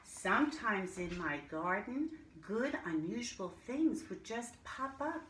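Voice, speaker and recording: A woman teacher reading and explaining to young children in simple, deliberate language with questions. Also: fairly high pitch, very animated, fairly bright tone. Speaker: early-grade teacher reading a picture book aloud to children. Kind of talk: storybook read-aloud and children's lesson. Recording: roomy echo, clear background noise.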